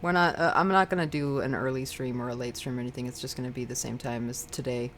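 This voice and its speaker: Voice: medium pitch, fairly even intonation, fast talker, a bit bright. An American streamer of unclear sex, giggly and easily sidetracked, chatting casually and reading game text aloud. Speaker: livestream host playing a game. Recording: clearly noisy background.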